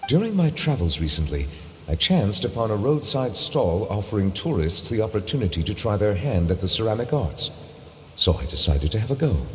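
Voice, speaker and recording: An actor playing a dignified, reserved chief judge in a video game, speaking in a measured, precise, formal manner. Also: male voice, fairly low pitch, fairly bright tone, big-room sound, slight background noise.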